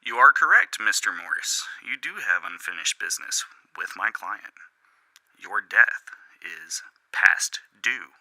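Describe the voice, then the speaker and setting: A man's voice: on the low side, very animated, a little slow, pushed and bright, no room sound, exaggerated voice, low-quality recording. A man voicing a smug, officious debt collector for the devil in formal phone patter, in a dramatic audiobook reading. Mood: smug, menacing. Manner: formal scripted phone patter, savoring each syllable.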